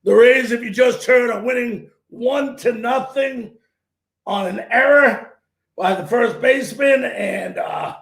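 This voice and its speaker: A hoarse man hosting a sports talk show, his voice pitched high and gravelly with fry. He talks loud and opinionated, often near a shout, in an echoey room. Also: slow.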